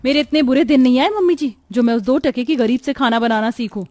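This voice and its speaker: Woman voicing a high-pitched, haughty young daughter-in-law. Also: quick pace, darkish tone, natural voice. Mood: defiant. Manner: shrill, snapping, taunting. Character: haughty, sharp-tongued young daughter-in-law, spoiled and scornful.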